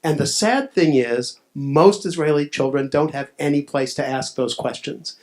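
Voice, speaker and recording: A man from a nonprofit answering audience questions on a panel, measured and explanatory with frequent fillers. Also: fairly high pitch, vocal fry. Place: live event room at a cafe screening.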